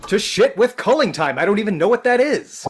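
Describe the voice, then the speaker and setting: A man's voice: high voice, big pitch swings. Bewildered office newcomer played by a man, faint noise. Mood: panicked, agitated. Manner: halting, pleading, agitated. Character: bewildered, frightened man newly arrived at an office. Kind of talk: live script table read acting.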